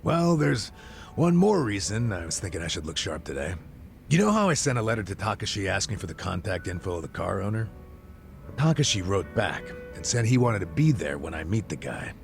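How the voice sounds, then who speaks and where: Middle-aged male ex-cop bluffer, game drama; fry-laden voice, casual bluster, animated delivery. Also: sits low, fairly quick, faint music behind, heavily acted. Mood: casual, pleading. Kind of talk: video game cutscene dialogue.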